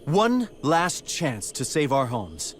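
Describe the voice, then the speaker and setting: A man's voice: fairly high pitch, huge pitch swings, slow pace, slight background noise, exaggerated voice. An actor playing a noble, resolute young king in a video game, speaking with earnest heroic conviction. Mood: earnest pleading. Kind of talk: dramatic video game voice acting.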